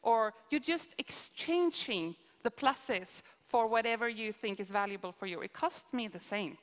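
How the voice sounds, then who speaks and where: A Swedish-accented woman with a slightly high voice fields questions at a conference, pausing and restarting as she explains. Her voice rings through a big hall with a long reverb. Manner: hesitant, explains with examples. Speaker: conference speaker answering questions. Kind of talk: live Q&A on stage.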